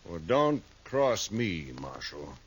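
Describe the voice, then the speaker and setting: An actor playing a smug, menacing gambler in a 1950s radio western, cold and threatening. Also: male voice, deep voice, very animated, takes it slowly, slightly rough, heavily acted, poor audio. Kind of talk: 1950s radio drama acting.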